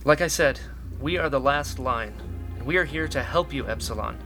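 An actor playing a nervous, earnest young male rebel radio host in a sci-fi radio drama, halting and flustered. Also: medium pitch, over music, close to an everyday voice. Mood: solemn, rallying. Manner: halting and flustered, stammering, turning solemn in speeches.

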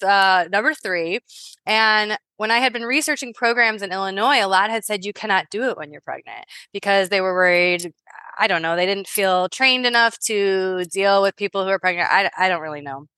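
A woman in her thirties chats through a personal story on a podcast, restarting her sentences and laughing often. Her voice is bright and forward, and the recording is dry with no room sound. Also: medium pitch, clear, resonant tone, dry recording. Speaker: podcast co-host, yoga teacher. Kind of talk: casual podcast chat.

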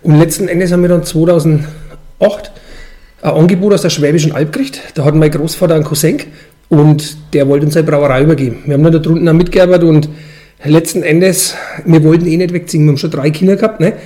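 Male, forties, Franconian dialect, brewmaster interviewee; quick, relaxed-sounding, colloquial explanations. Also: on the high side. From Franconian Switzerland, Bavaria, Germany. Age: 40s. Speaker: brewmaster and brewery owner, interviewee.

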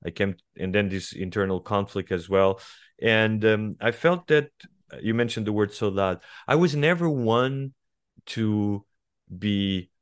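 A man in his forties from Portugal with a Portuguese accent, speaking as a guest writer in an interview, thoughtful and hesitant, often pausing and restarting. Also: fairly low pitch, lively intonation, no echo, studio quality. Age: 40s. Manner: reflective, hesitant with many fillers and restarts. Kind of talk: conversational interview.

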